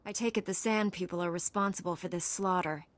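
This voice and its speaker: A woman voicing a calm, solemn and selfless mystic knight in a video game, speaking formally. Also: on the low side, brisk, in a large hall, close to an everyday voice. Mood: calm, helpful.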